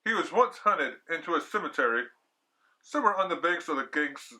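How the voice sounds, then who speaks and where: A man voicing a calm young niece who tells tall tales matter-of-factly in a story reading. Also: a little high, a little fast, pitched above the performer's own voice, poor audio.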